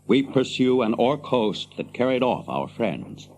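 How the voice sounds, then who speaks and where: An actor playing a proud, commanding ranger and heir to a throne in a fantasy radio drama, with grave, declamatory delivery. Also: male voice, mid-range voice, very animated, a little slow, darkish tone, a little hiss or hum. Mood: grave, urgent.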